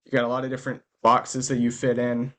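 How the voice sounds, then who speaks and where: A man's voice: medium pitch, completely flat delivery, fast talker, fairly bright tone. A man hosting a podcast in a friendly, chatty way, asking questions and laughing easily. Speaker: podcast host. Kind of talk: casual interview chat.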